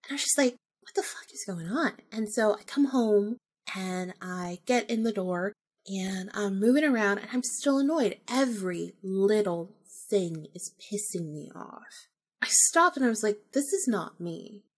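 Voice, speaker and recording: A woman's voice: mid-range voice, animated, a little slow, slightly rough. A woman podcast host telling a personal story casually, informally acting out remembered bits of dialogue.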